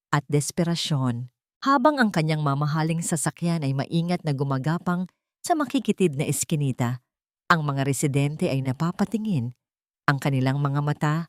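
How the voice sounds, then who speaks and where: A low-voiced woman reads a scripted dramatic story. The sound is dry and close, with very little room around her. She delivers it as a storyteller narrating an audio drama. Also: darkish tone, no room sound.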